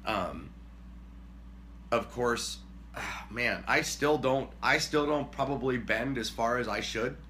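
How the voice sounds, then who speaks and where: Booming bright-voiced man on a couch. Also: slow pace, a little hiss or hum. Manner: rambling, self-deprecating, booming when worked up. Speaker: co-host, husband. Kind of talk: casual chat. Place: living room couch, indoors.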